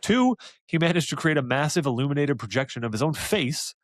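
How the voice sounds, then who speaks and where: A man's voice: a little high, animated, a little fast, no echo, studio quality. A man co-hosting a podcast in casual chat, rambling and hesitant, laughing often. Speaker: podcast co-host.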